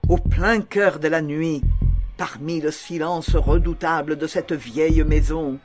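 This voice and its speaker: Man playing a slow-spoken, nervous madman over music. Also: on the high side, steady tone, lightly acted. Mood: agitated, frenzied. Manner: deliberate, halting, theatrical, with insistent repetitions. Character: nervous, obsessed madman confessing his murder, male. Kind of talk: dramatic literary audiobook narration. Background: music under the voice.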